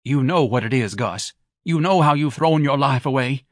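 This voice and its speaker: A man voicing a wealthy middle-aged wife who needles her husband with sarcastic, drawn-out nagging. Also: mid-range voice, expressive, fairly bright tone, dry recording, clean studio sound, pitched above the performer's own voice. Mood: needling.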